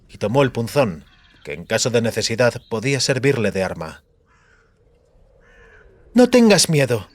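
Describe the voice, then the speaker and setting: A man reading scripted fantasy narration as an audiobook narrator. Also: medium pitch.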